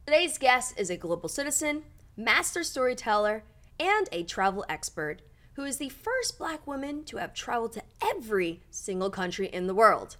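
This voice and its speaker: A woman's voice: a little high, big pitch swings, normal speed, a bit bright. A woman hosting a podcast, with a polished, upbeat presenter delivery as she opens the show and asks the interview questions. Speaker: podcast host. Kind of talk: scripted show intro then interview questions.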